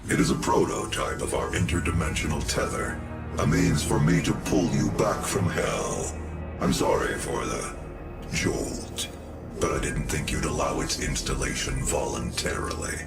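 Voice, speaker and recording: An actor playing a calm, authoritative scientist in a robot body in a video game, speaking in a measured, formal, cold way. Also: male voice, a bass voice, creaky. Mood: measured, explanatory. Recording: loud music behind the voice.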